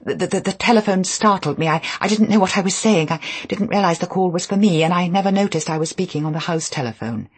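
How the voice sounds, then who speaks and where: A woman's voice: deep voice, big pitch swings, a little fast, hardly any pauses, darkish tone, husky, exaggerated voice. A woman voicing a timid, flustered young wife who is newly mistress of a house, with a stammering, hesitant, apologetic manner.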